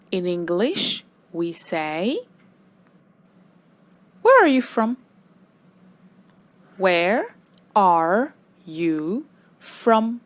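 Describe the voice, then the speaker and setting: A woman teaching beginner English, speaking slowly and clearly with careful, drawn-out words. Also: medium pitch. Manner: slow, deliberate, over-enunciated teaching delivery. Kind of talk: slow scripted lesson narration.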